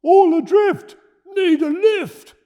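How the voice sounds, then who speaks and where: A man voicing a hot-headed, blustering male dragon from a storybook, with roaring, drawn-out shouts. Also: very high voice, in a large hall, pitched above the performer's own voice.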